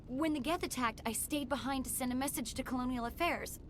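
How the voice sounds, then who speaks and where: A young female scientist for a sci-fi game. The voice is high, earnest and troubled by guilt. A faint rumble lies in the background. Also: brisk, a bit bright. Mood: uneasy, remorseful. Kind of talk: video game voice acting.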